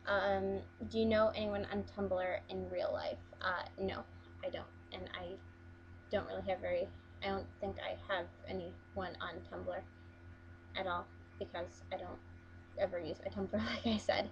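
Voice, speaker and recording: A young woman from Las Vegas talks casually to her camera, hesitant and full of small restarts. Her voice sits at an ordinary pitch. The recording is a bit muffled, with a faint hum underneath. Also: level tone, a little slow. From Las Vegas, USA. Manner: chatty, hesitant, many ums and restarts. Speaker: vlogger. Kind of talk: casual accent challenge video.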